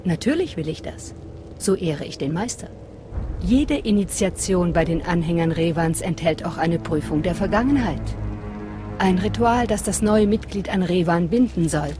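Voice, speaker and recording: An actress voicing a fanatical assassin and devout cult guardian in a video game, reverent and measured. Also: female voice, fairly low pitch, lively intonation, lightly acted. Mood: fervent. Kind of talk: dramatic video game dialogue. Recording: prominent music behind voice.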